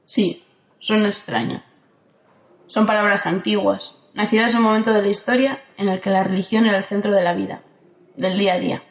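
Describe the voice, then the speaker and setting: A woman from playing a calm, ageless guardian who speaks in a steady, firm way in an audio drama. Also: medium pitch, brisk, natural voice. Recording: roomy echo.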